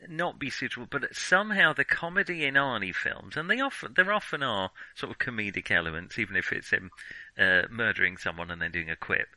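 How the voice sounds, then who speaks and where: A man's voice: lowish voice, pushed and bright, dry recording, rough recording. A middle-aged man in casual discussion as a film podcast co-host, reflective and given to hedging his remarks.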